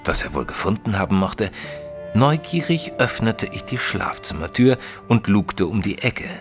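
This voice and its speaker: An actor playing an earnest detective's companion, narrating an audio drama in a measured, literary way. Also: male voice, deep voice.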